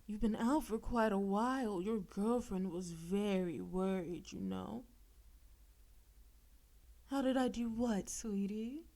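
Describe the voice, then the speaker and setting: A woman's voice: mid-range voice, a little mellow. A woman playing a possessive, demon-possessed girlfriend in an intimate audio roleplay, sweetly condescending and teasing with veiled menace. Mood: coaxing, feigned sweetness. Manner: slow, coaxing, teasing, with pet names and veiled threats.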